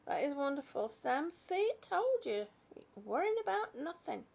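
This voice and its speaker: A British woman hosting a casual live chat from her bed, greeting viewers warmly as honey and sweetie and sometimes dropping to a soft whisper. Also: high voice, very animated, vocal fry. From British, born in Singapore. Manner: slow, soft, trailing off into whispers. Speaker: livestream host chatting with viewers. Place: at home in bed.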